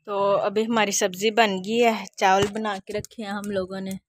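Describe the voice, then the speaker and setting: A woman's voice: mid-range voice, little pitch movement, moderate pace. A young woman from Pakistan chatting casually to viewers in a home vlog, filmed on a phone in a village courtyard. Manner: chatty, addresses viewers as friends. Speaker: vlogger. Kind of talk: casual vlog talk to camera. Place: rural home courtyard, outdoors.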